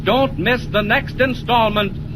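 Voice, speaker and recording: A man's voice: very high-pitched, steady tone, measured pace. A man narrating and announcing a 1940s radio serial in a dramatic, declamatory way. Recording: noisy old radio recording.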